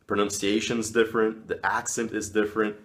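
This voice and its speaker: A man's voice: fairly low pitch, little pitch movement, fairly quick, fairly bright tone. A man from Brazil, explaining language learning in a friendly, casual talk to camera. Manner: friendly, explanatory, with small laughs. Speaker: language video host.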